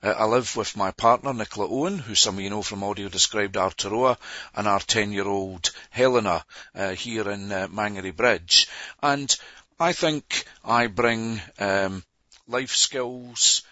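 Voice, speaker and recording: Bright-voiced Scottish man, lowish pitch. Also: a little slow, no room sound, dull audio. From Scotland. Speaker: board candidate. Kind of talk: spoken introduction at an online meeting.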